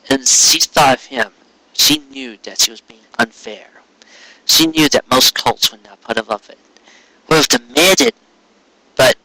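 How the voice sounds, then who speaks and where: A man reading a story aloud as a dramatic narrator, earnest and expressive, pausing for emphasis. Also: mid-range voice, poor audio. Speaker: fan fiction reader.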